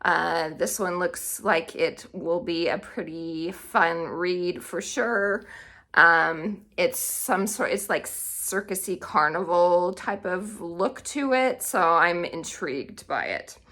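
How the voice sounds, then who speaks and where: A woman speaks as the host of an informal book vlog. Her voice is bright and forward, and her pace is slow and unhurried. She chats casually and tends to correct herself mid-thought. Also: on the low side. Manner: chatty, self-correcting, informal. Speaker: book content creator and host.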